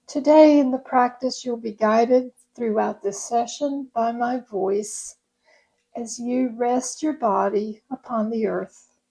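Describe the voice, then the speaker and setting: A woman yoga nidra instructor leading a guided meditation in a gentle, soothing, unhurried way. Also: on the high side, fairly even intonation, husky, poor audio.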